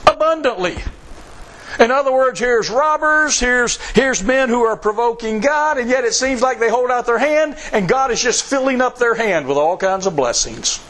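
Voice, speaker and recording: A man's voice: very high-pitched, animated, normal speed, a bit bright, rough recording. A man preaching a sermon, repeating phrases for emphasis and reading scripture aloud in old-fashioned wording. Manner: reads scripture aloud in King James wording.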